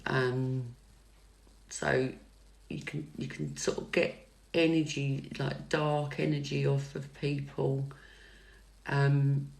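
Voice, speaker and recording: A middle-aged woman chats with viewers during a livestreamed tarot reading, her voice soft and calming. She speaks slowly, with a gravelly texture, pausing on fillers and restarting sentences as she answers comments. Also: a bass voice, low-quality recording. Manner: hesitant, many fillers and restarts. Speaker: psychic tarot reader. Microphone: phone livestream mic.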